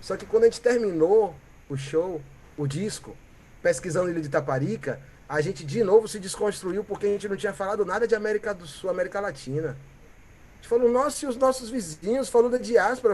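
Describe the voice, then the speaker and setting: A Brazilian man from Bahia, a musician guest, telling stories in a reflective, animated way and often ending with "né?" or "sabe?". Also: high-pitched, fairly quick. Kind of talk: reflective conversational storytelling.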